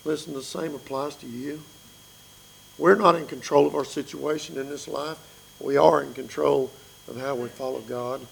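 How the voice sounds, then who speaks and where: Raspy, gravelly-voiced preacher man. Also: on the high side, steady tone, normal speed, rough recording. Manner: earnest, pleading with listeners. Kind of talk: sermon.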